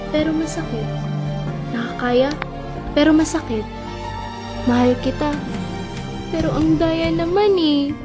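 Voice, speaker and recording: A young woman, acting as lead and narrator of an amateur radio drama, a lovesick young hospital worker speaking softly and wistfully. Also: sits high. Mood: tearful. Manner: soft, wistful, breaking into tearful pleading. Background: music.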